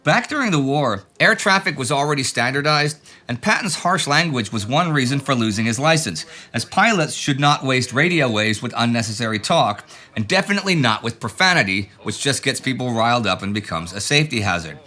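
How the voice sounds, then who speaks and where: Bright, forceful-voiced male show host. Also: mid-range voice, animated, a little fast, hardly any pauses. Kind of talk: reading viewer letters and comments with asides.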